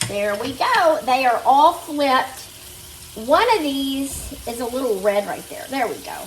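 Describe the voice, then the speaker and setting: Woman, high voice, slightly nasal, chatty cooking vlog, echoey kitchen, sizzling skillet behind. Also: animated, measured pace, a little hiss or hum. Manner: chatty, talks to viewers as "guys" while working at the stove. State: recovering from a fever. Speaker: cooking vlogger. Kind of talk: casual chat while cooking. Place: home kitchen. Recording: echoey kitchen, mic a bit far off. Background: sizzling meat in a skillet.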